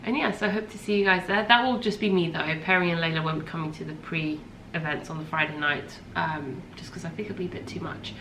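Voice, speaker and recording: A woman's voice: sits low, fairly quick, few pauses. Chatty congested woman, echoey room. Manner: rambling, chatty, with many ums and self-corrections. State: congested from a cold. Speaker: podcast host. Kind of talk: casual chat. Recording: echoey room with faint ambient noise.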